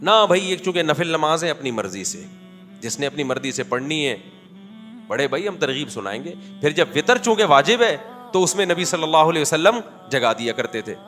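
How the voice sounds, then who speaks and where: A man preaching in a conversational, animated way, telling anecdotes with quoted dialogue and rhetorical questions. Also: fairly high pitch, a little fast, long reverb tail, faint music behind. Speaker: Islamic preacher. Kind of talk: conversational religious talk.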